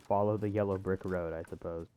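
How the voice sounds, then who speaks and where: A man's voice: sits low, steady tone, a little fast, dark tone, a little hiss or hum. A man giving a casual, unscripted video game walkthrough in an offhand, self-deprecating way. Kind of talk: casual unscripted gameplay commentary.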